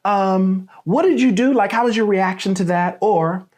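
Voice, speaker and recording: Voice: mid-range voice, moderate pace, a little mellow. A podcast host asking guests questions in a hesitant, searching way. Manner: hesitant questioner, playful jokes. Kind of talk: casual chat.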